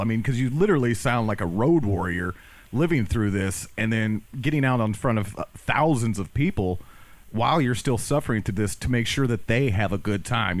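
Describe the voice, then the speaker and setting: Male interviewer, slightly low pitch, wordy and conversational delivery. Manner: wordy, rambling questions.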